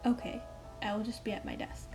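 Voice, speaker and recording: A woman playing a patient but firm, sometimes frustrated schoolteacher in a radio play, speaking in a measured, polite way. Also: mid-range voice, wildly swooping pitch, gravelly, over music, poor audio. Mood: instructive. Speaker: actor and narrator in a radio play. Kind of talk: first-person radio drama narration and dialogue.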